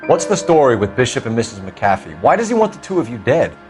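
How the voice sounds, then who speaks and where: A man voicing an earnest, probing police detective in a video game, measured, formal and pressing. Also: mid-range voice, big pitch swings, a little mellow, faint music behind, lightly acted. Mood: stern, accusing. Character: earnest, probing male police detective, stern but controlled.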